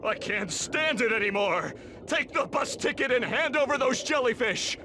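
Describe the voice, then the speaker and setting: A man's voice: fairly high pitch, very animated, normal speed. A man voicing a vain, irritable young lobster lifeguard in a cartoon video game, with brash surfer-dude delivery. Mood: exasperated. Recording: clearly noisy game audio.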